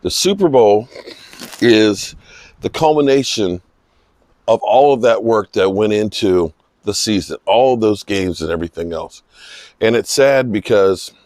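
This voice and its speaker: A man with a slightly hoarse voice giving unscripted, emphatic sports commentary, pausing mid-sentence for emphasis. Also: lowish voice, expressive, a little mellow, no room sound. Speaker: football vlogger giving post-game reaction. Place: outdoors in misty rain.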